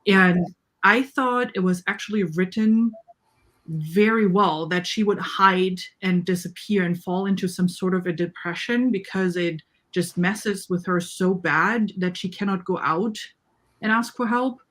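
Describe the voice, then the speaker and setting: A woman's voice: lowish voice, measured pace, fairly bright tone. A woman talking casually and emphatically in a book discussion, often checking agreement as she goes.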